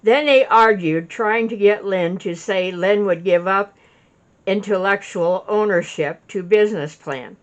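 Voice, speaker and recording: A woman reading her own written witness statement aloud, recorded in low fidelity. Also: a little slow. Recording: dull lo-fi recording.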